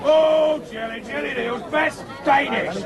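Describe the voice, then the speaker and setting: An actor playing a brash, cheeky London street trader hawking in a singsong way in a comedy audio drama. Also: male voice, extremely high pitch, unhurried, highs cut off. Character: cheeky, bawling London eel seller. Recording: noisy street market crowd behind.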